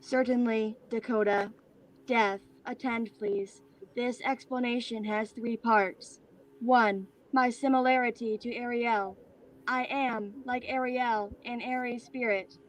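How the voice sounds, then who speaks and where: Woman playing an airy AI spirit: high voice, formal, precise, serenely superior, theatrical. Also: fairly even intonation, slow pace, poor audio. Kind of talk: staged reading of a stage play.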